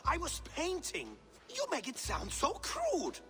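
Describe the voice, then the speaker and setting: A man playing a self-important, artistic Norse skald in a video game, florid and deliberate. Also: extremely high pitch, wildly swooping pitch, sharp tone, in a large hall, full character voice. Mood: self-justifying. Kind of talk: video game character acting.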